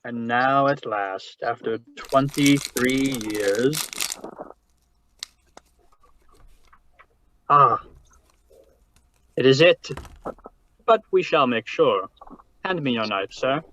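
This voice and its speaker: An actor playing a genteel, scheming older crime boss in a 1940s radio drama, speaking in a florid, courtly manner. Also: male voice, mid-range voice, lively intonation, slow pace, long pauses, fairly bright tone. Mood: greedy excitement. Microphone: video call. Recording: muffled, noisy video-call audio.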